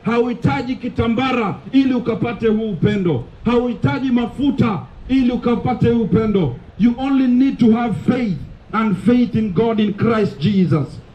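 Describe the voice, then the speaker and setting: A man's voice: extremely high pitch, normal speed, dark tone, lo-fi sound. A young Kenyan man preaching outdoors on a sandy beach street, declaiming with urgent repetition as a street preacher, picked up by a camera set at a distance. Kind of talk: open-air sermon mixing Swahili and English.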